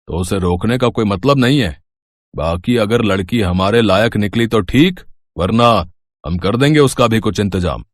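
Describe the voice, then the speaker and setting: Man acting a bossy, scheming landlord, widely swinging pitch. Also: animated, normal speed, no room sound, studio quality. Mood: stern, menacing. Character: domineering, scheming village landlord father, gruff and crafty. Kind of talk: animated moral story voice acting.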